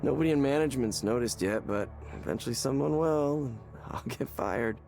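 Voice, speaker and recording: An actor playing a despairing, hollow man in a visual novel game, speaking haltingly and repeating words as he searches for them. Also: mid-range voice, creaky, a little mellow, soft background music, close to an everyday voice. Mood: resigned. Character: despairing, hollow man confiding in a counseling session.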